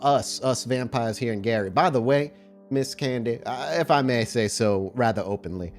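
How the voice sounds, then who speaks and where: A gruff, streetwise vampire henchman acted by a man at a game table, with a middling pitch and a folksy, rambling grumble. Soft music sits underneath. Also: moderate pace, close to an everyday voice. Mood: wary, scheming.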